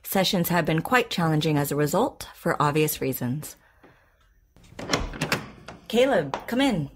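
Low-voiced, composed therapist. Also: female voice, expressive, background noise. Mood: composed, pleasant. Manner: measured, careful questioning. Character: composed, patient woman therapist, probing and professional. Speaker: actor playing a therapist. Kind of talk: naturalistic audio drama dialogue.

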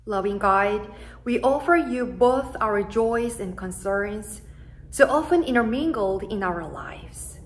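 A young woman, a native Korean speaker, leading prayer as an associate pastor in a church sanctuary, speaking slowly and solemnly from a pulpit. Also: mid-range voice, slight background noise. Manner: slow, solemn and measured.

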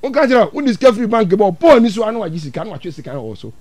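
Older Ghanaian man, preacher. High voice, big swings in pitch, emphatic and repetitive denunciation. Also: very animated, very fast, darkish tone, no room sound. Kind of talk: impassioned prophetic sermon in Twi with English phrases.

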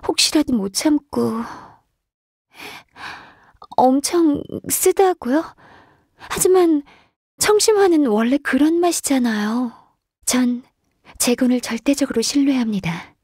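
An actress voicing a gentle, diligent, shy young woman secretary in a video game, speaking softly, politely and hesitantly. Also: on the high side, husky, dry recording, clean studio sound. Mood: shy, flustered. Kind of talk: video game character voice lines.

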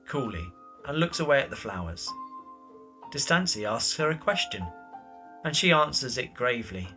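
A man's voice: mid-range voice, bright, forceful tone. A man narrating scripted stage directions for an audio drama.

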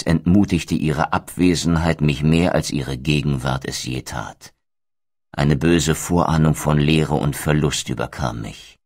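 An actor playing a grim, vengeful undead wraith in a game, solemn and deliberate. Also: male voice, extremely low pitch, dry recording, studio quality. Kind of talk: dramatic German game narration and dialogue. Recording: music behind.

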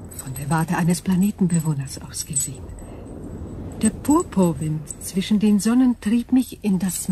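An actress playing an ancient alien crystal being in a radio play, calm and coolly logical, speaking in measured, formal phrases. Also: female voice, on the low side, slight background noise. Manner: measured, formal, precise diction. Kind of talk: German radio drama acting.

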